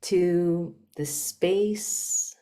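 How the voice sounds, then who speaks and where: A woman leading a guided meditation as a teacher, speaking in short, hushed phrases with long pauses between them. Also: lowish voice, fairly even intonation. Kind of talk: guided meditation, spiritual talk.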